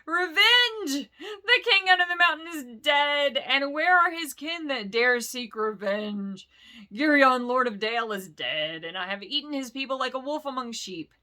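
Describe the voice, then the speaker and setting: Wicked ancient dragon, slow, proud, loud, woman reader putting it on. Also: lively intonation, full character voice. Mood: boasting. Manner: slow, booming, gloating. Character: huge ancient boastful male dragon, wicked and wily.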